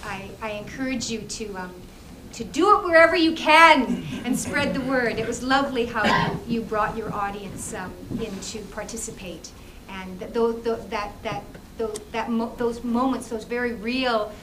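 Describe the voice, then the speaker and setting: A woman's voice: a little high, fairly bright tone. Woman adjudicator, stop-start feedback, far mic in an echoey noisy theatre. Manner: halting, many restarts and fillers. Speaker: festival adjudicator. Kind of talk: spoken feedback after a performance. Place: dark theatre with audience. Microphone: far room microphone.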